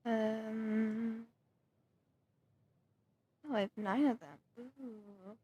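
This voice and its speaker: A woman streaming a game, thinking aloud in a hesitant murmur full of ums. She talks slowly with a soft, dark tone, and her voice sits a little high. Her manner is casual and unhurried. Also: steady tone, husky, lo-fi sound. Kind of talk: casual gameplay commentary.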